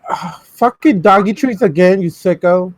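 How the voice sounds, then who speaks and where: A man's voice: high voice, normal speed, dry recording. A man teasing friends in casual chat with sarcastic, mock-threatening jokes. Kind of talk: casual banter.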